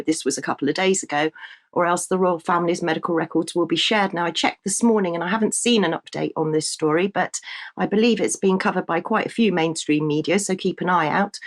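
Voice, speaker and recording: A woman hosting a news podcast, her voice a little croaky. She talks casually and informally, with plenty of ums and restarts. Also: fairly low pitch, fairly quick. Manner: chatty, with frequent fillers and restarts. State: slightly croaky voice.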